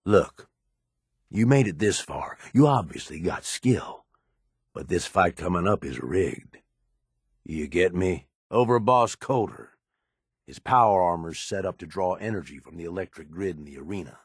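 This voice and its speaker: An actor playing a blunt, pushy, cunning raider schemer in a video game, with a streetwise American manner of speech. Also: male voice, deep voice, expressive, measured pace, breathy edge, dry recording, studio quality, full character voice. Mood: urgent, persuasive. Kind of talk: video game character dialogue.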